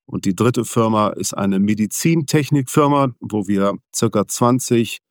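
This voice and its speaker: A man who grew up in Venezuela, answering interview questions in a measured, explanatory way. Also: fairly low pitch, dry recording, clean studio sound. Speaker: interview guest, businessman.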